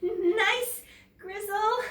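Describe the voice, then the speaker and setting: A woman's voice: extremely high pitch, extremely slow, fairly bright tone, roomy sound, far from the mic, voice pushed up, exaggerated voice. A woman voicing a brave, stubborn young girl in a children's storybook, insistent in manner. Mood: nervous, placating.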